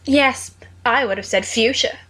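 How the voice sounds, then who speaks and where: A woman's voice: fairly high pitch, unhurried, bright, forceful tone. A woman voicing a sardonic seventeen-year-old boy, bantering in a dry, mock-formal way for an amateur audio drama.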